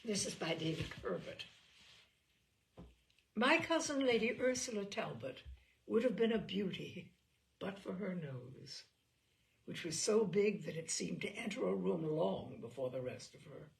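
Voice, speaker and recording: Theatrical woman storyteller with a lively, creaky voice. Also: mid-range voice, far from the mic. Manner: theatrical, deliberate delivery with emphatic key words. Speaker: storyteller reading aloud. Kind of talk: animated dramatic reading of humorous anecdotes.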